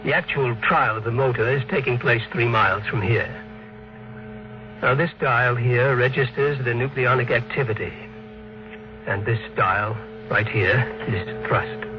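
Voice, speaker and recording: An actor playing a formal, deferential project manager, speaking precisely in old-time radio drama style. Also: male voice, medium pitch, close to an everyday voice. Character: deferential, businesslike male project manager reporting to boss. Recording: muffled, hissy vintage radio recording.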